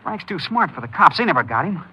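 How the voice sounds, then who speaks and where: An actor playing a cocky, jittery young robber and addict in an old crime radio drama, with an American accent and a restless, insistent delivery. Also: male voice, fairly high pitch, sing-song, fast, bright, forceful tone, husky, exaggerated voice, poor audio. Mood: excited, hopeful.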